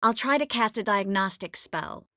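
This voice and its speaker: An actress voicing a young sorceress in a video game, gentle, earnest and determined, with a measured, expressive delivery. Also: female voice, medium pitch, dry recording, clean studio sound, close to an everyday voice. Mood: focused, determined. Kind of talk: video game character voice lines.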